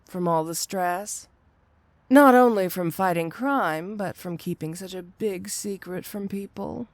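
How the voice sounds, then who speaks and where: A woman acting as a sly, seductive supervillainess from an ASMR roleplay. She speaks slowly and a little low, teasing and coy, drawing out her questions. The sound is close and very dry. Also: dry recording. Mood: teasing, probing.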